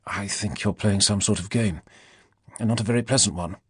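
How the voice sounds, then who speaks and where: Man playing a nervous, proper British gentleman. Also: mid-range voice, animated, normal speed, dry recording, clean studio sound, pitched above the performer's own voice, lightly acted. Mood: uneasy, indignant. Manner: stiff, polite, guarded.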